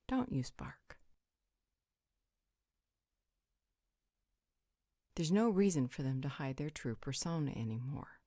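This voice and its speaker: An actress playing a bossy, sardonic female vampire in an audio roleplay, with a husky, breathy voice and a commanding, deliberate delivery. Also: deep voice, dark tone, no room sound, clean studio sound. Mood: blunt, matter-of-fact.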